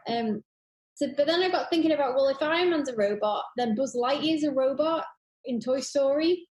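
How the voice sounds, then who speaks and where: A woman with a fairly high voice talks in a roomy, echoey space. She chats casually and enthusiastically, rambling from one thought to the next and gushing as she goes. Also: normal speed, a little mellow. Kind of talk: casual chat.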